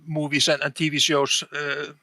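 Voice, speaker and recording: A man being interviewed about game design, talking haltingly with many repeated words and restarts. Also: a little high, completely flat delivery, bright, forceful tone, no echo. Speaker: game design director guest. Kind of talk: casual podcast interview.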